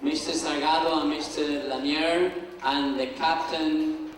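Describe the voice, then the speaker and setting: A man moderating a school assembly, slow and deliberate as he calls on people to ask questions. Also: fairly high pitch, steady tone, a crowd in the background. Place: school auditorium. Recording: echoey hall from far off, noisy.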